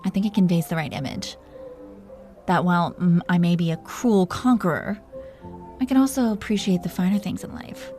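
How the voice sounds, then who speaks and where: A woman's voice: lowish voice, normal speed, a little mellow, soft background music, natural voice. Woman playing a teasing, seductive dragon queen. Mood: playful, commanding. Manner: teasing, commanding, flirtatious with pet names. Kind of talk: intimate romantic roleplay audio acting.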